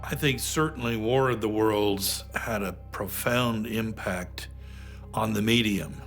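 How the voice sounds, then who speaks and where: A man with a lowish voice comments in an unscripted documentary interview, working out his thoughts in halting phrases. Music is prominent behind him. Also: fairly bright tone.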